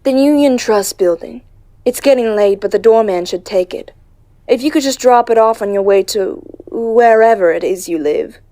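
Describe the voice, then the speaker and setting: A woman voicing a poised, wry female cat who runs a speakeasy, genteel and unhurried, in a comic dub. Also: fairly high pitch, dark tone, natural voice. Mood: sweet, composed. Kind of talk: animated comic dub acting.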